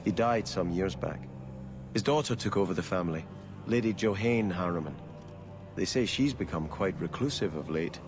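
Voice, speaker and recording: A man's voice: sits low, moderate pace, music in the background, close to an everyday voice. An actor playing an earnest, brooding nobleman in a video game, speaking formally and solemnly. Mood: earnest, troubled. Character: earnest, brooding young nobleman avenging his family. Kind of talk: video game cutscene acting.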